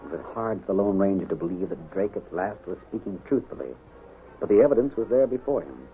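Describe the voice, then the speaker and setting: A low-voiced storyteller narrates a western adventure with measured suspense and drama. Music plays behind him, and the sound is narrow, tinny old radio. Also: male voice, animated. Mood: suspenseful.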